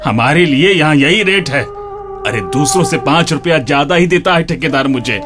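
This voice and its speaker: A weary village husband, acted by a man in a radio play, grumbling and resigned in rustic north Indian speech. His delivery swings in pitch, with music underneath. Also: animated, normal speed, lightly acted. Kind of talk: Hindi radio drama acting.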